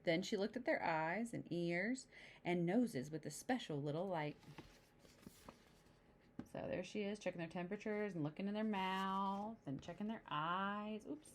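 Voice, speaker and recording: A woman reading a picture book to young children, pausing to explain the pictures in simple, chatty asides. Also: mid-range voice, a little hiss or hum. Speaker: storybook reader.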